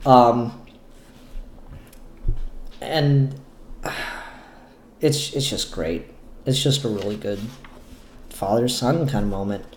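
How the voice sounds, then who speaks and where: A man's voice: mid-range voice, extremely slow, pauses often, roomy sound, slight background noise. A man giving casual, opinionated review commentary on comic books in a rambling, enthusiastic way.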